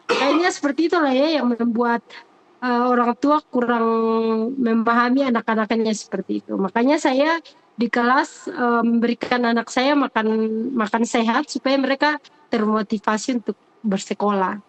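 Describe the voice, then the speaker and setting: A woman's voice: on the high side, moderate pace, poor audio. A woman, a teacher answering interview questions off the cuff, earnest and hesitant, often repeating her phrases.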